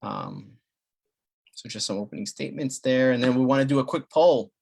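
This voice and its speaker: A man from the Dominican Republic works as a family doctor and gives a community health talk. His pitch sits in the middle and his delivery is halting, full of "um" and restarts. He keeps the tone plain and explanatory. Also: huge pitch swings, creaky, a little mellow. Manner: halting, many fillers and restarts.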